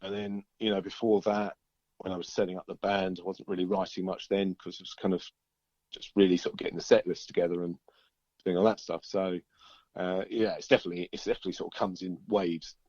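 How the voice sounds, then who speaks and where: Man, lower-pitched, casual interviewee with a rambling, looping delivery; close, dull lo-fi sound. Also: little pitch movement, quick pace, a little mellow, dry recording. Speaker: band singer and songwriter being interviewed.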